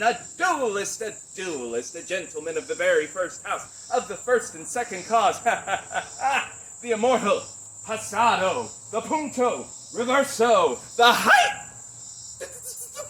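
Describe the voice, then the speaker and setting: A man playing a mocking, quick-witted young nobleman. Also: high-pitched, a bit bright, a little hiss or hum, exaggerated voice, poor audio. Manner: theatrical, declamatory, mocking. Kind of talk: Shakespearean stage acting.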